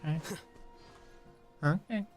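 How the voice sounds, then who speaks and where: A man's voice: slow pace, highs cut off, over music. A man streaming a game, chatting casually and rambling while answering chat questions. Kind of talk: casual gameplay commentary.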